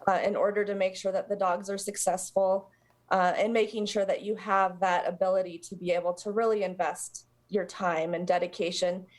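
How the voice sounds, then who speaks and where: A woman's voice: mid-range voice, little pitch movement, normal speed. Woman, American accent, mid-range voice, interview guest, conversational explainer, charity representative.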